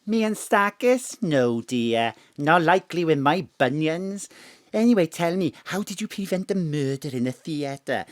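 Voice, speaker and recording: A feisty older mam, homely and nosy, quick to scold. Her colloquial talk rises and falls a lot, in a dry, close audio comedy recording. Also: low-pitched, animated, no room sound. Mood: nosy, confiding. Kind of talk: comic audio drama acting.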